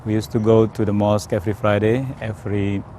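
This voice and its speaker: A man from Indonesia with an Indonesian accent, telling his personal story in a hesitant, careful way with frequent pauses. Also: fairly low pitch, little pitch movement, mellow, slight background noise. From Indonesia, South Sumatra. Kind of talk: unscripted personal testimony.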